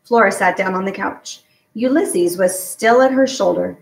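A woman's voice: medium pitch, moderate pace, vocal fry. A middle-aged woman reading a children's novel aloud as a storyteller. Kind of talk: read-aloud narration of a children's book. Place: home garage, indoors. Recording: echoey room, distant mic.

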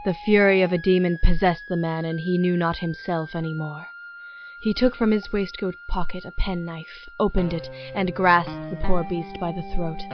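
Loud music runs behind slightly dull audio. A woman performs as a solemn female narrator of a gothic horror drama, measured and rather low, with very level intonation. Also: steady tone, natural voice.

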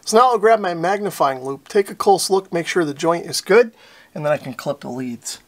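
Higher-pitched American man explaining a repair. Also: animated, normal speed. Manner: casual, explanatory. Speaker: host of a radio repair channel. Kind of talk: instructional narration of an electronics repair.

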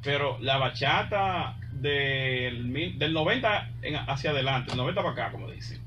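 A Dominican man gives a casual radio interview. He speaks colloquially and emphatically at a middle pitch. The sound is distant, with clear noise behind him. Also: animated, normal speed, pushed and bright, highs cut off. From Dominican Republic. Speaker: bachata musician, radio guest. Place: small radio studio.

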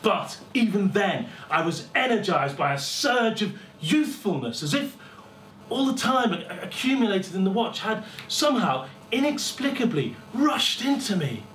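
Male reader, middle-aged, for live short fiction: theatrical, measured delivery in an echoey room, distant mic, slight noise. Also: high voice, very animated, a bit bright. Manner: theatrical, deliberate, with pauses and swelling emphasis. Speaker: story reader at a live festival. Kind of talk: dramatic first-person story reading. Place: small lecture room with seated audience. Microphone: far camera. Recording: roomy, distant camera mic, faint hiss.

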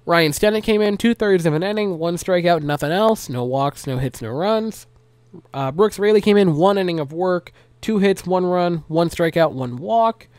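A young man with a stuffy nose recapping a game for his channel, listing stats and giving his fans an animated pep talk from a small room at home. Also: high-pitched, normal speed, clear, resonant tone, no room sound. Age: young adult. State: congested, nasally stopped up. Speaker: sports recap youtuber. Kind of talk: solo game recap vlog. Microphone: large desk mic close to mouth.

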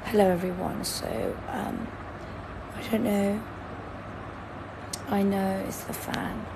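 A woman's voice: medium pitch, huge pitch swings, slow pace, vocal fry. A woman in her thirties who has lost her voice and hasn't slept, chatting casually with livestream viewers from her bed into a phone held at arm's length. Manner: lying in bed, talking to a phone camera, reading out chat. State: hoarse, lost voice; sleep-deprived. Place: bedroom, indoors, in bed. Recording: fan noise in the background.

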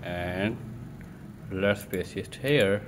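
A man presenting an informal step-by-step tech tutorial in a halting way, thinking aloud as he works. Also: deep voice. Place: indoors at a computer desk. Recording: steady room noise.

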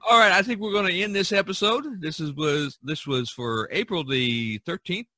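Raspy older man hosting a podcast. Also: high-pitched, animated, moderate pace, sharp tone, no room sound. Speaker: podcast host. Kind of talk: casual chat and podcast sign-off.

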